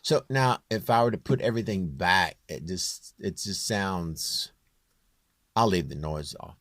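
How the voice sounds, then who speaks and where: Low-voiced man giving an informal tutorial. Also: fairly bright tone, faint music behind. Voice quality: low, near-bass voice. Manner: halting, thinking aloud while working. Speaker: home musician demonstrating his vocal production.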